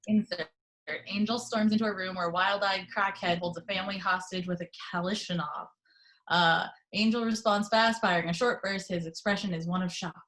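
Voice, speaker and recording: A woman reading a screenplay's action descriptions in a plain, steady way. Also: medium pitch, moderate pace, rough recording. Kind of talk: reading a screenplay's stage directions.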